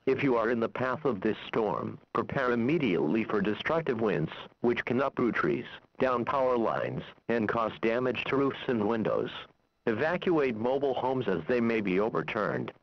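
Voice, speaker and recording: A man's voice: mid-range voice, expressive, gravelly, dry recording. Synthetic male announcer, dull radio sound. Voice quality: synthesized text-to-speech voice. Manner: formal, measured, impersonal. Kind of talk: scripted weather warning bulletin. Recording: dull lo-fi weather radio broadcast.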